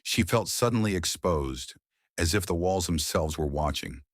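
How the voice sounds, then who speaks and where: Low voiced guy reading a noir thriller, lively up and down, dry close sound. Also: no room sound. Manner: dramatic, tense delivery with pauses. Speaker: audiobook narrator. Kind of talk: scripted thriller narration.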